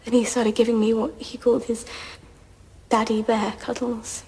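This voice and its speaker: An actress playing a frightened teenage girl on the witness stand in a courtroom audio drama, speaking haltingly. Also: a little high, flat delivery, mellow, natural voice. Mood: uneasy, subdued. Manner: halting, faltering. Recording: echoey hall reverb.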